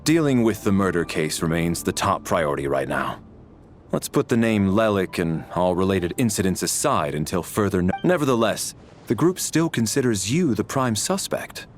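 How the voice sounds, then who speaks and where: A man's voice: low voice, sing-song, moderate pace, a little hiss or hum. A man voicing a stern, formal leader handling a murder case, clipped and authoritative.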